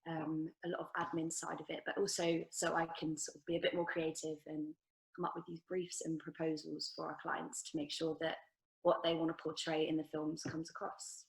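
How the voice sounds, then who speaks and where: A woman's voice: low-pitched, little pitch movement, brisk, vocal fry, poor audio. A young woman speaking as a panelist, describing her job casually with frequent ums. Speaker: production assistant, panelist. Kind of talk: career panel talk.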